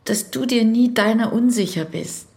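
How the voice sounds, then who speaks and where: A woman's voice: on the high side, normal speed, darkish tone, lightly acted. An actress playing a man's frank, assured female lover in an intimate radio play, tender and direct.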